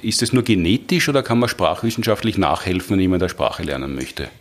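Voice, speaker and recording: A man's voice: low-pitched, big pitch swings, fast talker. A man hosting a podcast, asking long, curious questions. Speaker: podcast host. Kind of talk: conversational interview.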